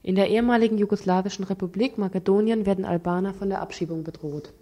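A woman's voice: mid-range voice, steady tone, fast talker, background noise. A woman reading scripted news reports as a presenter in a radio studio.

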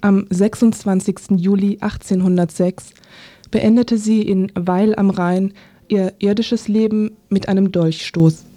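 A woman narrating a scripted literary radio feature in a sober, measured manner. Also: mid-range voice, fairly even intonation, warm tone.

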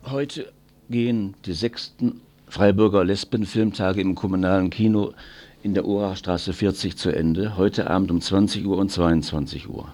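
A man's voice: low-pitched, steady tone, moderate pace, darkish tone, soft background music. A man presenting on community radio, reading out event announcements in an informational style.

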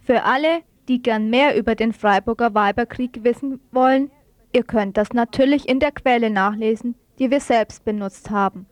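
A woman's voice: a little high, normal speed, no room sound. A woman presenting on radio, reading scripted narration and announcements.

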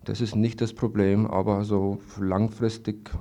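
A man answers hesitantly in a spontaneous radio interview, haltingly and often repeating words. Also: lowish voice, flat delivery, mellow. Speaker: interviewed evicted trailer-camp resident. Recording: dull lo-fi archival radio recording.

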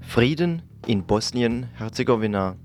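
A man's voice: mid-range voice, animated, a little slow, loud music behind the voice. A man announcing scripted news headlines as a radio station announcer.

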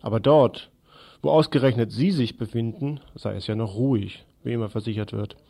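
A man presenting scripted radio news and commentary in a deliberate, sardonic manner. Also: mid-range voice, creaky, mellow.

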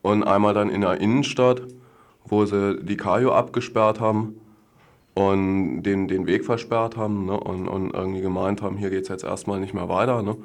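Man, low voice, little pitch movement, activist interviewee, casual, blunt, colloquial, frequent tag questions. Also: steady tone, normal speed. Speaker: activist interview guest, demonstration participant.

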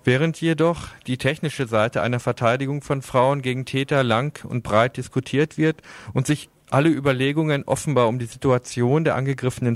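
A man with a mid-pitched voice reads an opinion commentary for radio. He is earnest and formal, moving through long written sentences, and the sound is dry with no room on it. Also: no room sound. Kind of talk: scripted opinion commentary, read out.

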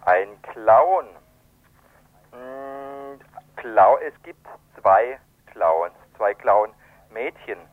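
A man being interviewed, explaining things in a halting way and searching for words, over a telephone. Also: mid-range voice, animated, dry recording. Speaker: event organiser, interviewed guest. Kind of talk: spontaneous radio interview by phone. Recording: narrow tinny telephone line.

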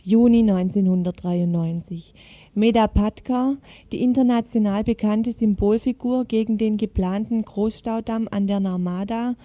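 A muffled, dry radio recording carries a woman's voice at a middling pitch. She reads a prepared news feature as a contributor presenting a report. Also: fairly even intonation, normal speed, no echo. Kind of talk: scripted news feature narration.

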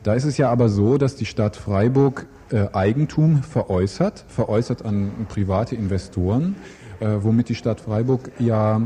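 A man's voice: fairly low pitch, moderate pace, dark tone, slight background noise. A man presenting an informal local news interview, phrasing his questions loosely and with some hesitation.

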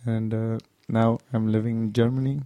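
A man's voice: fairly low pitch, flat delivery, moderate pace, warm tone, dry recording. A man from Afghanistan giving hesitant answers as an interviewee. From Kabul, Afghanistan.

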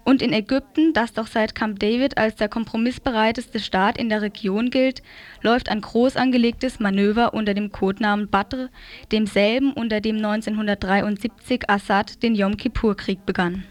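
A woman's voice: medium pitch, fairly even intonation, normal speed, no echo. A woman reading a scripted political commentary aloud as a radio presenter.

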